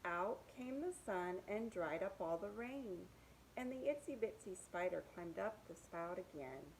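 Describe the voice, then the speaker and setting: A woman's voice: lowish voice, creaky, darkish tone, slight background noise, rough recording. A woman reading picture books aloud to young children, with sing-song rhymes and simple asides about the pictures.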